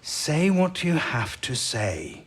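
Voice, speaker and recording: Indignant wartime codebreaker, husky and high-strung. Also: male voice, big pitch swings, slow, exaggerated voice. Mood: terse. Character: indignant, stubborn senior wartime male codebreaker championing women. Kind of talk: period audio drama acting.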